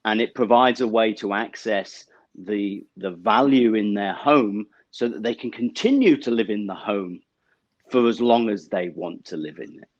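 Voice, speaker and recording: A middle-aged man from the UK with a British accent, explaining banking products as an interview guest over a video call, measured and full of small fillers. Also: on the low side, no echo, muffled recording. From United Kingdom. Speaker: bank representative guest. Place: office with banner backdrop, indoors.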